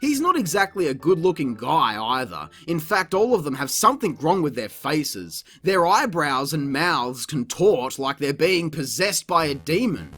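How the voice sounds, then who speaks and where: A man's voice: on the high side, sing-song, unhurried, hardly any pauses, fairly bright tone, soft background music. A man narrating a scripted comedic review in a sarcastic, crude, mocking manner. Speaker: video reviewer.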